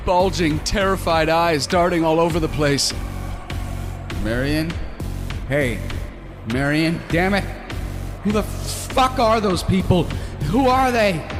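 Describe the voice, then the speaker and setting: An actor playing a trapped male serial killer in a horror audio drama, obsessive and desperate, moving between intense narration and pleading. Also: fairly high pitch. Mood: panicked, pleading. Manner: intense, swinging from murmured narration to frantic pleading.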